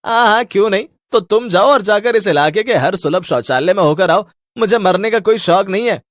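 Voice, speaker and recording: Man acting a nervous superstitious man, higher voice, agitated, protesting, animated cartoon delivery. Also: normal speed, no echo, studio quality. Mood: defiant, nervous. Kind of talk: Hindi cartoon story acting.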